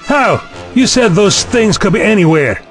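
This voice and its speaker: Man playing a sceptical, higher-voiced university chancellor. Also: big pitch swings, unhurried, faint music behind. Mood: defensive. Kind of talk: science fiction audio drama acting.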